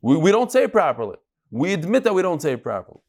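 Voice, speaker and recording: Animated, higher-pitched man teaching. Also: quick pace. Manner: conversational, emphatic, peppered with rhetorical questions and Hebrew terms. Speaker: rabbi giving a daily Talmud class. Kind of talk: informal Talmud lecture to a live class.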